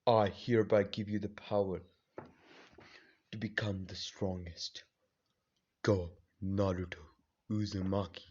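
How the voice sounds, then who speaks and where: A grave ancient spirit, put on by a man, speaks in a lowered, slow, weighty voice, handing down power like a proclamation. The recording is a little dull and lo-fi. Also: level tone, a little mellow. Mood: solemn. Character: ancient male spirit granting power, solemn.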